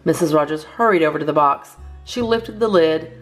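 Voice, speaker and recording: A woman narrating a children's storybook as a storytime host, in an expressive read-aloud style, over music. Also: sits low, a little fast, poor audio. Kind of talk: animated children's storybook reading. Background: soft plucked guitar music.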